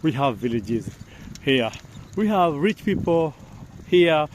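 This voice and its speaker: A man from Kenya with a Kenyan accent, talking casually as a vlogger in a halting, repetitive way. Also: fairly high pitch, big pitch swings, a little hiss or hum. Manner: halting, repeats phrases. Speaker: vlogger, host. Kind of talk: casual outdoor vlog talk. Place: outdoors on a bicycle along village paths. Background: loud outdoor ambience.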